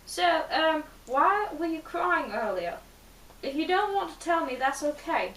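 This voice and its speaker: A woman's voice: high-pitched, animated, normal speed, a bit bright, voice pushed up. A woman voicing an awkward, polite young man in a romance story, hesitant and rambling. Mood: gently curious. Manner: hesitant, rambling, repeats himself. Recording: distant mic, roomy echo, faint noise.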